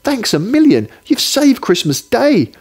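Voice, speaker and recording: Man voicing an effusive, high-pitched grateful mum. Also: big pitch swings, unhurried, husky, full character voice. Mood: grateful, delighted. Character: kind, grateful young mother in children's storybook.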